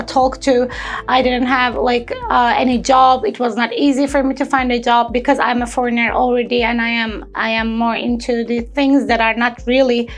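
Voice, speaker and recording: Earnest young woman. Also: on the high side. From Turkey. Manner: earnest, searching for words with many fillers and restarts. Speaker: intern and entrepreneur. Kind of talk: personal testimonial interview.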